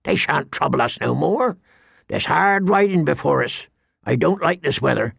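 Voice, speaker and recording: A man's voice: a little high, lively intonation, moderate pace, vocal fry, a bit bright, husky, no room sound, clean studio sound, exaggerated voice. A man voicing a grizzled, grim old man-at-arms in an audiobook, with grave, rustic, plain speech. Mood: uneasy.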